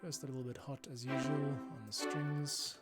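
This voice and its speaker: A man's voice: mid-range voice, level tone, normal speed. A man composing on a livestream, thinking aloud in casual working commentary as he adjusts his music. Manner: thinking aloud, sometimes singing instrument parts. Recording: loud music behind the voice. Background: instrumental score being edited and played back.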